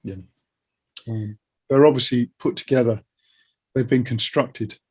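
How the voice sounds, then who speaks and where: A man's voice: medium pitch, steady tone, measured pace, a little mellow, slightly rough. A British man hosting a casual interview podcast in an encouraging, affirming way. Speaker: interview host.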